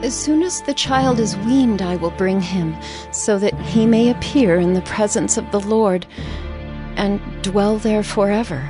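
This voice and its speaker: An actress playing a devout, grateful biblical mother in a dramatized scripture reading, speaking in a solemn, fervent, measured way. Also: medium pitch, over music, lightly acted. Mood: reverent, grateful.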